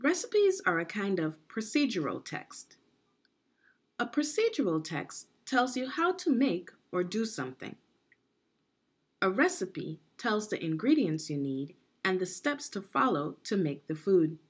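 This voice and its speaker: A middle-aged woman with a slightly husky voice, giving a deliberate, instructive read-aloud lesson for young children. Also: mid-range voice, very animated. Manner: deliberate, instructive, with pauses and questions. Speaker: education consultant reading aloud to children.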